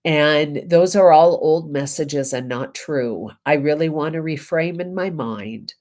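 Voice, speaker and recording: Low voiced lady minister w a head cold, really slow, reflective spiritual talk. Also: a little mellow. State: getting over a head cold. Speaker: reverend, spiritual teacher.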